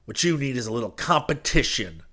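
A man voicing a pushy, folksy con-man preacher in an audiobook, impassioned and a little hoarse. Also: mid-range voice, animated, fairly quick, bright, forceful tone. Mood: agitated, threatening. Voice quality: hoarse, breathless.

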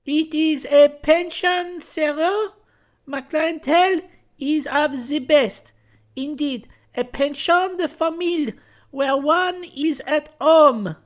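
A man's voice: very high-pitched, takes it slowly, voice pushed up. A man voicing a stout, effusive French landlady of a pension, speaking broken English with a French accent in a storybook reading. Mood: gushing, eager.

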